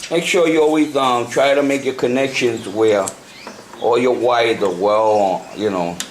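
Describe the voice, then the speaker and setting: A man giving an unscripted hands-on tutorial, talking haltingly and repeating himself as he works through the steps. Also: mid-range voice. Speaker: hobbyist presenter of a CB radio tutorial. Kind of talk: unscripted hands-on how-to demonstration. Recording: noisy amateur home video.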